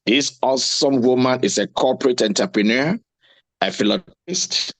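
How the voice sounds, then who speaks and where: A man's voice: medium pitch, normal speed, fairly bright tone, dry recording. A man hosting a seminar, giving formal, ceremonious introductions of speakers. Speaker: host. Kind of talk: moderating a seminar.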